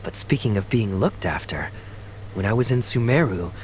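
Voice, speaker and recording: A man voicing a suave young captain in a video game, affectionate and courteous, with a gently theatrical manner. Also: lowish voice, expressive, normal speed, a little hiss or hum, natural voice, rough recording. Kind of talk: video game character acting. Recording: background music under the voice.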